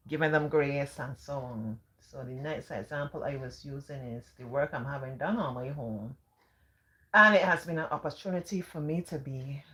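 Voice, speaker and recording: Low-voiced sing-song Barbadian woman. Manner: sing-song, calm and gentle. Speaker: live-stream host. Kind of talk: casual live-stream talk.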